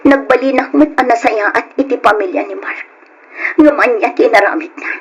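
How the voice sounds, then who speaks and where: Woman playing a proud, defiant daughter, slightly husky. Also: on the high side, moderate pace. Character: proud, resentful ambitious daughter out for payback. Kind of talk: Ilocano radio drama acting.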